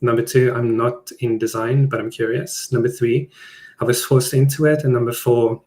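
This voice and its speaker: Even-toned man presenting. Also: mid-range voice, moderate pace. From South Africa. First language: Afrikaans. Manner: conversational, plain-spoken. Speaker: product designer presenting a webinar. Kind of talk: presenting a live online talk.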